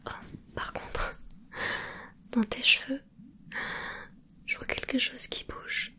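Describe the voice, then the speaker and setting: A woman voicing a gentle, caring mermaid in an ASMR roleplay, whispering softly and reassuringly with a curious, playful touch. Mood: soothing whisper. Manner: soft whispering, slow and soothing. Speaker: ASMR creator.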